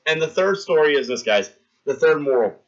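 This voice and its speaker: A man in his thirties streaming live, telling a long gross-out story with animated delivery and acting out the scenes for his chat. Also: a little high, a little fast, muffled recording.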